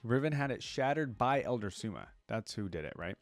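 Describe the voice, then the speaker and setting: A man giving casual reaction commentary as an online creator, reading wiki text aloud and thinking out loud about it. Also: medium pitch, sing-song, fairly quick, dry recording. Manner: thinks aloud, interjecting between read passages.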